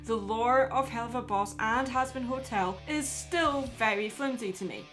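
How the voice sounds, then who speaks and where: A woman delivering scripted opinion commentary as a video essayist, in a measured way with conversational asides. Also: a little high, a bit bright. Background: background music.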